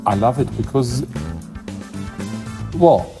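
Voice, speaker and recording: A man's voice: mid-range voice, animated, takes it slowly, over music. A man from Germany with a German accent, a classical singer chatting as a podcast guest with dry humor. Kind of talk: casual interview chat.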